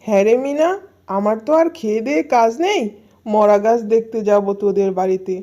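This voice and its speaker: A woman's voice: a little high, lively intonation, a little fast, dark tone, muffled recording, close to an everyday voice. A woman voicing a scornful, snobbish animal neighbour in a cartoon fairy tale, dismissive and sneering. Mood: contemptuous.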